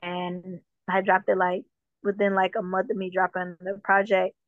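A woman from Jamaica, a musician guest, talking casually in an interview with a relaxed manner and lots of fillers. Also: medium pitch, normal speed, no room sound. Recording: dull, dry lo-fi sound.

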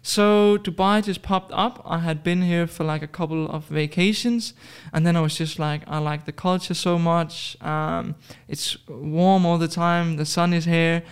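A man's voice: fairly high pitch, steady tone, unhurried, hardly any pauses, clean tone. A man in his twenties from Denmark, chatting casually as a podcast guest, hesitant with many fillers and restarts. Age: 20s. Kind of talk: casual interview chat.

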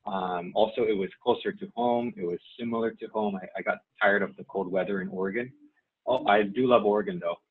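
A man's voice: lowish voice, brisk, breathy edge. A man in his forties from Saipan, whose first language is Chamorro, talking as an interview guest, hesitant with many fillers and restarts. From Saipan, Northern Mariana Islands. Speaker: guest, nonprofit staff member. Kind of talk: casual interview. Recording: tinny phone-like line.

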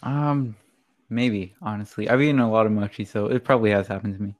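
Relaxed hesitant co-host. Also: medium pitch, a little fast. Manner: relaxed, hesitant, laughs easily. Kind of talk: casual chat.

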